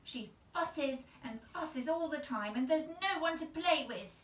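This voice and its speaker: Woman acting cross, high-pitched little girl. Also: lively intonation, measured pace, a bit bright, echoey room, distant mic. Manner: grumbling, dramatic. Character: cross, defiant little girl playing tree pirate.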